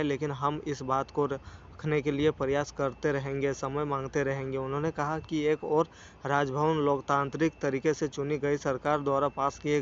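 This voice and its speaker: Flat-voiced man reading news, dull recording. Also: fairly high pitch. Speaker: news channel narrator. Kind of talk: news report read from a script. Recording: dull lo-fi sound with faint noise.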